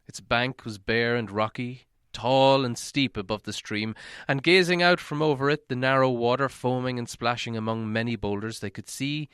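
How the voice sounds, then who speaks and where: A man reading a story aloud as narration, in a measured, dramatic storytelling manner. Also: mid-range voice, fairly bright tone, clear, resonant tone, no room sound, clean studio sound. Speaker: audiobook reader.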